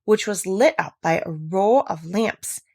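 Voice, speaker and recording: Woman, audiobook reader, children's story narration, animated intonation, dry close sound. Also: medium pitch, a little slow, no room sound.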